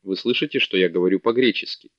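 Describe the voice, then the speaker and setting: A man's voice: low-pitched, quick pace, no echo, close to an everyday voice. A man reading an earnest young scholar. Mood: persuasive. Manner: earnest, persuasive. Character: young clever male scholar, eager. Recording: dull dry lo-fi audiobook recording.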